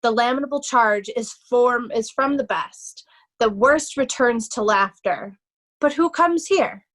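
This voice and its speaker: A wronged young nobleman, reflective and sorrowful, acted by a woman in a Shakespearean monologue. The voice is fairly high and speaks slowly. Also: a bit bright. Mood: stoic, reflective. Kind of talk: Shakespearean readers' theatre.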